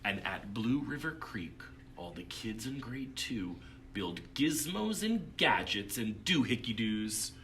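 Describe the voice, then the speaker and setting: Male storytime reader, unhurried and very expressive, dramatic pauses, clear background noise. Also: mid-range voice. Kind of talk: expressive storybook read-aloud with casual asides.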